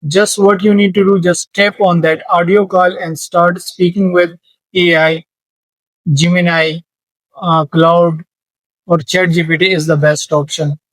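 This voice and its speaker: Slow-talking, earnest male tutor. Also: high-pitched, little pitch movement, a bit bright, no room sound, poor audio. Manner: slow, earnest, with repetitions and restarts. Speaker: English teacher. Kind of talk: informal teaching monologue.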